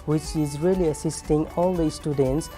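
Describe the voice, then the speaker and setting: A man's voice: fairly high pitch, fairly even intonation, moderate pace, dark tone. A young man with a Nepali accent, a recent graduate giving a promotional testimonial. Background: background music.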